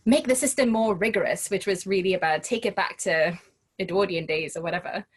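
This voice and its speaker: A woman from Trinidad and Tobago, a guest speaking in a relaxed interview and often restarting her phrases as she thinks. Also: lowish voice, a little fast, husky. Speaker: guest, home educator. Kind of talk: casual conversational interview.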